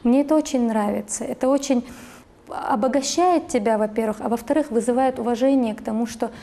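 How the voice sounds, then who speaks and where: Middle-aged woman teacher, interviewed; thoughtful and hesitant, fairly high, warm tone. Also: fairly quick. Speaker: teacher of Russian literature.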